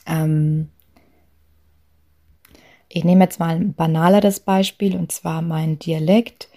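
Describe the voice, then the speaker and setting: A young woman with a light Bavarian accent talks through coaching points in a relaxed, chatty way. Her voice sits a little low, with a gravelly fry and a dark, mellow tone. She leans on fillers and small asides. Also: takes it slowly. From Lower Bavaria, Germany. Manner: chatty, frequent fillers, soft laughs. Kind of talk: talk on authenticity at work.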